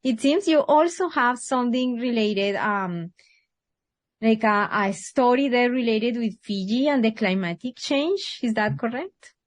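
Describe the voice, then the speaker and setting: Colombian-born woman hosting a podcast, gushing and excited, asks questions. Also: a little high, measured pace. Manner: enthusiastic, effusive. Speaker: podcast host. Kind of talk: interview hosting.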